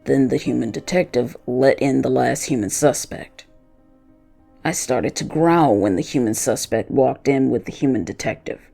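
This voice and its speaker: A narrator voicing a loyal pet dog who tells his own story of wanting revenge, in deliberate, dramatic scripted storytelling. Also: medium pitch, creaky, mellow, soft background music. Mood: angry, vengeful.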